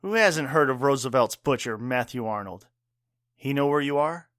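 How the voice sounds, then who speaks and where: A man playing a caring, gruff bartender father and war veteran in an audio drama, earnest, wry and plain-spoken. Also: mid-range voice, animated, normal speed, a bit bright, dry recording, clean studio sound, lightly acted. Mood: guarded.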